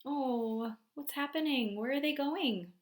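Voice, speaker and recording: A woman teacher reading a picture book aloud to young children, expressive and often prompting her listeners with questions. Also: high voice, measured pace, slight background noise.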